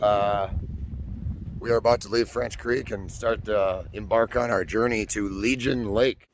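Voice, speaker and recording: A man narrating his backpacking trip to the camera outdoors on a trail, chatty and self-deprecating, his voice going hoarse. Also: lowish voice, fairly even intonation, measured pace, a little hiss or hum. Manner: chatty, self-deprecating, addresses viewers directly. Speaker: backpacking vlogger. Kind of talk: casual vlog narration on the trail. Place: outdoors on a hiking trail by a creek.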